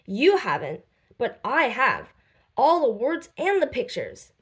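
A woman's voice: a little high, huge pitch swings, takes it slowly, a bit bright, pitched above the performer's own voice, full character voice. A woman voicing a kind, capable, cheerful girl in a children's storybook, explaining and reassuring.

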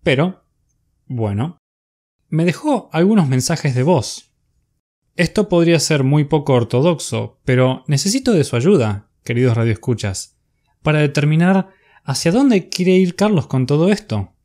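Radio drama host: Latin American accent, mellow, theatrical and lovestruck, very animated delivery. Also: male voice, on the high side, measured pace. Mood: confiding, smitten. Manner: dramatic, confiding. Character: infatuated, theatrical small-town male radio host. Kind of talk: radio drama monologue as a broadcast.

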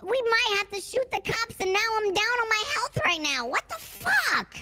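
A woman voices a brash, profane criminal for a game roleplay stream. Her voice sits high and leaps about, with a bright, sharp edge, and she bickers hard and breaks into shrieks when riled. It sounds like a close headset mic. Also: very animated, normal speed. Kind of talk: in-character roleplay banter.

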